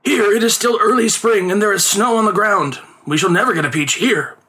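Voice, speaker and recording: A man's voice: high-pitched, animated, moderate pace, sharp tone, voice pushed up, exaggerated voice. A man voicing an anxious old male street conjurer who frets and pleads in a theatrical way. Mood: urging.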